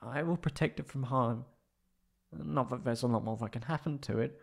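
A man's voice: mid-range voice, moderate pace, creaky. A man voicing a proud but insecure, emotional tsundere catboy in an ASMR roleplay, with hesitant, stammering speech. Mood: hesitant, wistful.

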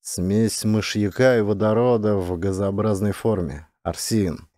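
A man playing a grim, cautious scientist on a space expedition, explaining seriously and in a measured way for an audiobook. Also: fairly low pitch, fairly even intonation, dark tone, natural voice. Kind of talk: audiobook drama character voice.

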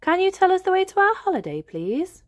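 A woman voicing an excitable, curious young girl piglet from a storybook, in an eager, sing-song way. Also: very high-pitched, a little fast, dry recording, clean studio sound, voice pushed up.

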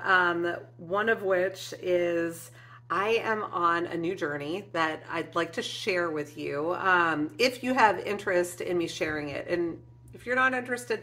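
A woman in her forties speaks to her webcam from a cozy craft room, thanking viewers in a heartfelt, rambling way full of little ums. Her voice is bright and forward, sitting slightly low in pitch. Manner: warm and emotional, frequent ums, gestures with hands. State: teary at moments. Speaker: craft channel host. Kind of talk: heartfelt casual vlog to viewers. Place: indoor craft room with shelves and flowers.

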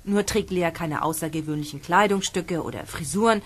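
Husky, direct schoolgirl. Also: fairly low pitch, brisk. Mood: reassuring. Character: reassuring, tactful but direct teenage schoolgirl twin. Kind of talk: German radio play acting for young listeners.

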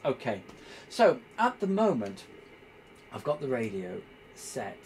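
A man giving an informal hands-on tutorial, explaining step by step in a halting, hesitant way. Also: mid-range voice, big pitch swings, darkish tone, a little hiss or hum. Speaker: presenter demonstrating radio equipment.

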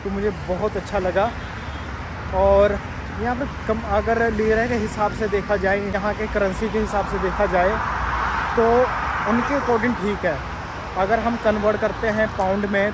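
A man's voice: sits high, fairly even intonation, moderate pace. A man chatting casually as a travel vlogger while walking along a city waterfront, pointing things out, repeating himself loosely. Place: outdoors on a city waterfront street. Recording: outdoor traffic noise.

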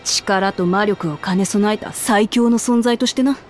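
A woman's voice: medium pitch, measured pace, soft background music, close to an everyday voice. A woman voicing a brash, hearty young lioness warrior in a Japanese video game, speaking bluntly like a tomboy. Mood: matter-of-fact explaining. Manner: blunt, rough tomboyish speech.

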